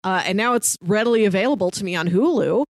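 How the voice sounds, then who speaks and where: A woman co-hosting a podcast, chatting casually and pitching shows to her co-host. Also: fast, dry recording, studio quality.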